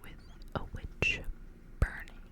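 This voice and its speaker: A woman speaking entirely in a soft, breathy whisper, unhurried and intimate, as an ASMR host who chats and reads aloud. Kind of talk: whispered ASMR reading and casual chat.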